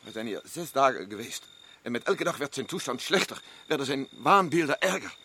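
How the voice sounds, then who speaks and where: A man's voice: medium pitch, lively intonation, fairly quick, bright, forceful tone, a little hiss or hum. A man playing a nervous, sick explorer in a radio play, talking in a pleading, shaken way. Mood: uneasy. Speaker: expedition member.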